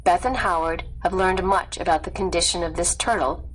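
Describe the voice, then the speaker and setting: A woman narrating a scripted nonfiction story in a measured, clearly read delivery. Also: fairly low pitch, rough recording. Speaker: audiobook narrator.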